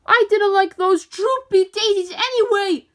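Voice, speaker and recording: Woman putting on a high-pitched, indignant young sheep. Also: unhurried. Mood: defiant. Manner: exclaiming, expressive. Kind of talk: children's storybook character voice.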